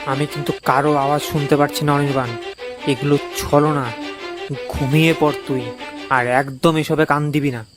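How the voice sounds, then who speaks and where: Man acting a worried young village friend, slightly high voice, urgent, insistent, cartoon horror, music behind. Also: level tone, normal speed, natural voice. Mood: anxious warning. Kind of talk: cartoon ghost story dialogue.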